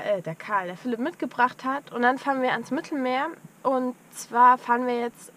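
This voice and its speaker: A woman's voice: a little high, a bit bright. A young woman vlogging casually from inside a van on a road trip, rambling with many fillers. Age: young adult. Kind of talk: casual travel vlog narration. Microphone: action camera on the dashboard. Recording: dull lo-fi camera audio with road noise.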